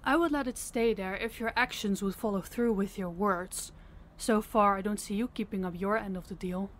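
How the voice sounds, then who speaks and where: A woman's voice: mid-range voice, moderate pace, lightly acted. A woman playing a stern, fed-up crime boss in an audio roleplay, commanding and blunt. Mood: fed up, accusing. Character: stern, fed-up female crime boss scolding her subordinate.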